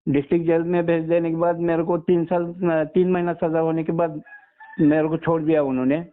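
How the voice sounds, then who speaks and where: A man's voice: fairly high pitch, level tone, fairly quick. A man of sixty-one from Maharashtra, giving a personal account as a caller, deferentially addressing the listener as sir. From Maharashtra, India. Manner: deferential, constantly says sir. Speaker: caller, former soldier. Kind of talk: personal account on a phone call. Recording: tinny narrowband phone call.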